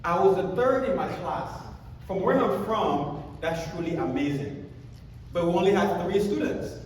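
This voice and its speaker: A man in his thirties from Cameroon with a Cameroonian accent, giving an animated motivational keynote at a conference and telling his story with self-deprecating jokes. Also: high voice, a little slow, slight background noise. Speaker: conference speaker. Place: large conference hall. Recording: echoey hall, speaker far from mic.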